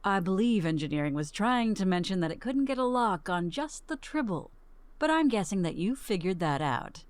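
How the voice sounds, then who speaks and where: A woman playing a cool, drily sardonic starship first officer in a game cutscene, speaking in a measured, formal deadpan. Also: mid-range voice, no room sound, close to an everyday voice. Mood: dry, unruffled. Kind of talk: video game cutscene voice acting.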